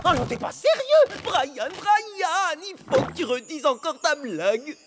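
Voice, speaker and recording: A woman voicing an excitable, easily exasperated schoolboy amoeba in a cartoon, whining and repeating words. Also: sits high, a little slow, very noisy. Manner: exclamatory, whiny, repeats words. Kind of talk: cartoon voice acting in French.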